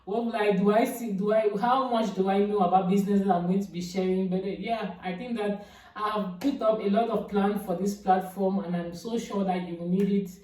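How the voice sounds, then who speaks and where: A woman's voice: steady tone, moderate pace, darkish tone, recorded from a distance, a little hiss or hum. Woman chatting in an echoey room. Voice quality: big, deep-sounding voice. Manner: chatty, repeats herself, fillers like "I mean". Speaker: YouTuber. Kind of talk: casual get-to-know-me vlog.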